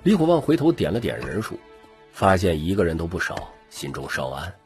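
A man's voice: low-pitched, huge pitch swings, a little fast. A man narrating an audiobook in a scripted, dramatic storytelling style. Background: music.

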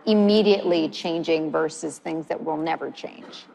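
A woman in her forties leads a stage panel with lively, gesturing chatter. Her voice sits a bit low and rings out into a large hall, with a faint hum behind. She speaks like a practiced anchor. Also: moderate pace, vocal fry. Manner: animated, gesturing, chatty with fillers. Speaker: panel moderator, news anchor. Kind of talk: conversational panel talk. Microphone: lapel mic. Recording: long hall reverb, faint room noise.

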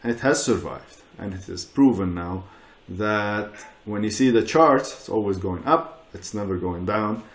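Relaxed middle-aged man on a video call. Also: lowish voice, a little slow, hardly any pauses, roomy sound. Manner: relaxed, many "you know". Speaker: panel guest. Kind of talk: casual panel talk. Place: living room at home.

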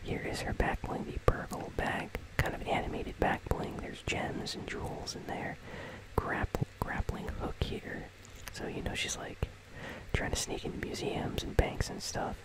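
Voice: unhurried, poor audio. Whispering ASMR gaming host. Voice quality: breathy, unvoiced whisper. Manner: casual, conversational. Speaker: host of a gaming news channel. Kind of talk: whispered ASMR game commentary.

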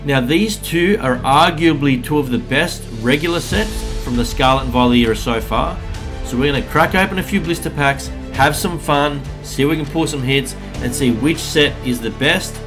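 A man, hosting a casual vlog where he opens trading card packs. His voice is slightly husky from a recent bug, and he talks in an upbeat, chatty way. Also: fairly high pitch, moderate pace, over music. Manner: upbeat, hype-building, chatty. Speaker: card-opening YouTuber host. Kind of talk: casual pack-opening vlog. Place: home room with colored lights. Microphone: studio mic on a desk arm.

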